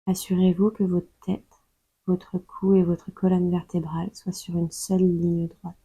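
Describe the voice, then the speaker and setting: A woman leading a guided yoga nidra meditation in a calm, soothing, unhurried way, leaving long pauses between instructions. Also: fairly low pitch, breathy edge, no room sound, low-quality recording. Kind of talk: slow guided meditation narration.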